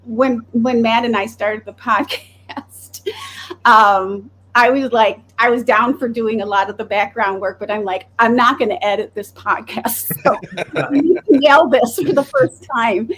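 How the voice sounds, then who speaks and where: A woman from Wisconsin hosting a podcast, chatting casually and telling animated stories. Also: a little high, measured pace, slight background noise, poor audio. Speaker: podcast host. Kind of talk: casual chat.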